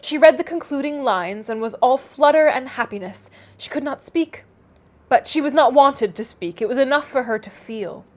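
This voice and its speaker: A woman with a notably high voice reads aloud from a classic novel. Her delivery is that of an expressive storyteller, narrating literary prose and verse. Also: normal speed. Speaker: reader.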